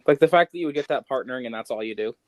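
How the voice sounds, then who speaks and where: A man's voice: medium pitch, racing, a crowd in the background, poor audio. A man hosting a podcast in casual chat, with an offhand manner and dry understatement. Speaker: podcast host. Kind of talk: casual chat about games and news.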